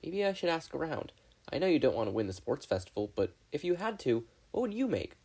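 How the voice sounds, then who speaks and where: A man's voice: on the high side, big pitch swings, a little fast, darkish tone, pitched above the performer's own voice. A man voicing a nervous, polite teenage boy inventor who is easily flustered and apologetic. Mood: curious.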